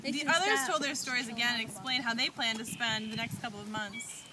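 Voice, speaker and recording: A woman's voice: a little high, a little fast. A woman narrating a children's puppet show and directing the young performers. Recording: very noisy amateur room recording.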